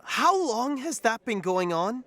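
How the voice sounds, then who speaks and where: A woman's voice: fairly high pitch, big pitch swings, measured pace, fairly bright tone, no echo. A woman playing a hot-tempered, foul-mouthed, sulky biker in an audio drama. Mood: indignant. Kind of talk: animated audio drama acting.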